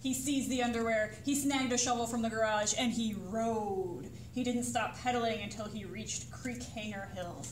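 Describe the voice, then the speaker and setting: A woman reading a picture book aloud to children as a librarian, with dramatic, suspenseful delivery. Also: a little high. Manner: expressive, suspenseful pauses and sudden exclamations. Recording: roomy echo, distant mic, faint noise.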